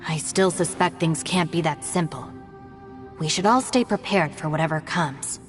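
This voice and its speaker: A woman's voice: sits low, normal speed, big-room sound, soft background music, lightly acted. A woman voicing an earnest, cautious young woman adventurer in a video game who speaks formally and carefully.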